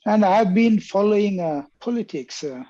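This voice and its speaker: An older man from southern India, talking haltingly as an interview guest with many uhs, often tacking "yes" onto his sentences. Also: high-pitched, animated, mellow. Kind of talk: conversational interview. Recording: dull lo-fi line.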